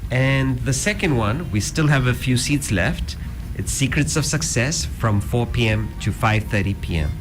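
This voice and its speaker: A middle-aged man from South Africa, a guest teacher explaining a philosophy calmly and steadily in a radio interview. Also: mid-range voice, music in the background. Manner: calm, explanatory, measured. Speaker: guest speaker, Vedanta teacher.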